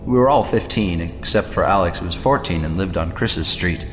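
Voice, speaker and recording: A man's voice: deep voice, normal speed, close to an everyday voice. A man playing a weary, cynical narrator who confides a boyhood horror story in a resigned, conversational audio drama read. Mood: weary confiding. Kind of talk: scripted first-person audio horror narration. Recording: long reverb, music behind.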